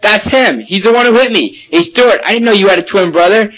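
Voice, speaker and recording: A man's voice: high voice, a little fast, a bit bright, rough recording. A man playing a pugnacious, tattling big boy with a petulant, taunting delivery.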